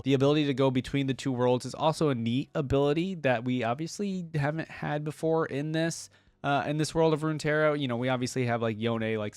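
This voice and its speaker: A man's voice: medium pitch, no room sound. A man hosting a reaction video, giving informal, conversational fan commentary with emphatic repetition.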